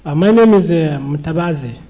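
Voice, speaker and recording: A man's voice: a little high, animated, moderate pace, mellow, a little hiss or hum. A man from Uganda giving his name with hesitant, brief replies at a public event. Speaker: convert at an event.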